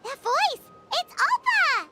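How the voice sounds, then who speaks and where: A woman voicing a tiny, excitable girlish fairy companion in a video game, childlike, curious and blunt. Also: takes it slowly, heavily acted. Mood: excited, puzzled. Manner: squeaky, exclaiming, childlike. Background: game music.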